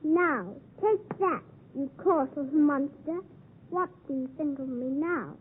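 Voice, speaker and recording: A woman's voice: high-pitched, unhurried. A woman playing a haughty, spiteful young girl, disdainful and clipped, in a 1950s radio drama. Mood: haughty, provoking. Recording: muffled, faintly noisy 1950s radio recording.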